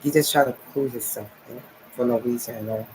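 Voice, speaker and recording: A man's voice: mid-range voice, steady tone, fast, darkish tone, a little hiss or hum, rough recording. A man in his forties from New Jersey, talking to his viewers in a rambling, repetitive monologue full of "okay" and "nice". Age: 40s. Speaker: vlogger.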